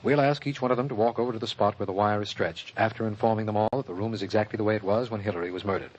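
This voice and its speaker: Blunt, authoritative prosecutor male actor, lowish voice, firm and businesslike delivery, vintage radio mystery. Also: fairly even intonation, rapid-fire, slight background noise, close to an everyday voice, low-quality recording. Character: earnest, blunt, commanding district attorney. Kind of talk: old-time radio detective drama acting.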